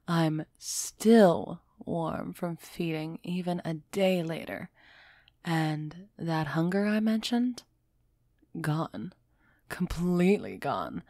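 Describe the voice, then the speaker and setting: A woman playing a refined, centuries-old female vampire in an intimate audio roleplay, gently imperious and softly teasing as she speaks straight to the listener. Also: lowish voice, no echo, clean studio sound. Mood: measured, intrigued. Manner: slow, measured, softly teasing, addressing listener directly.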